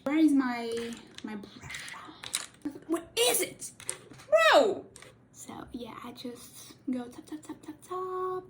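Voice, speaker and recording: A young woman, a native Portuguese speaker, chats in a slightly high, unhurried voice. She rambles playfully and keeps correcting herself. It is a home recording from a desk camera, with a faint hiss underneath. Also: sing-song. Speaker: cosplay makeup vlogger. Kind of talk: casual chatty makeup tutorial.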